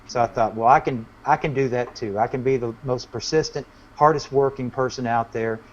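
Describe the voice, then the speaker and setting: A man's voice: mid-range voice, a little fast, lo-fi sound. A man chatting casually as a country musician guest in an interview. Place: remote online call. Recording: band-limited online call audio.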